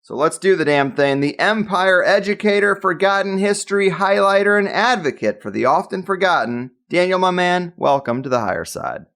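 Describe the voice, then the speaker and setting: Podcast host guy, kinda gravelly, higher pitched, hypes up his guest. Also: lively intonation, moderate pace, few pauses, a bit bright. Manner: emphatic, hype-style introductions. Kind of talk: scripted intro then casual interview.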